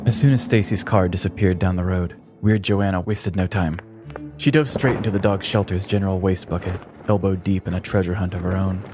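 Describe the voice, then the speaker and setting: A man narrating a scripted true-crime comedy podcast in a theatrical, deliberate storytelling manner. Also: low voice, fairly even intonation, over music.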